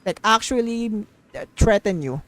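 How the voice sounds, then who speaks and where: A woman's voice: mid-range voice, lively intonation, moderate pace. A woman live streamer giving casual, rambling gaming commentary, emphatic and opinionated, repeating phrases to drive points home.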